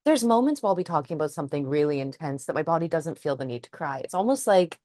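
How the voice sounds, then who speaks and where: A woman's voice: low-pitched, lively intonation, fast talker, dark tone, no room sound, clean studio sound. A woman who is a trauma psychotherapist, co-hosting a podcast and speaking in a thoughtful, earnest way. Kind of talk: casual chat.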